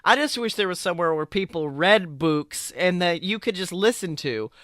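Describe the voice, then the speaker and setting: A man with a high, crisp voice hosts a casual podcast. He talks informally with a playful, joking streak, and the sound is close and dry. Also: animated, moderate pace, fairly bright tone, no room sound. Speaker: podcast host.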